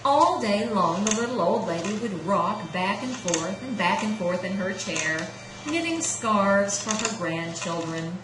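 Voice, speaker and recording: Woman storyteller, very animated, roomy sound. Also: mid-range voice, measured pace. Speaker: storyteller, puppeteer. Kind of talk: children's storybook narration. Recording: roomy sound with clear background noise.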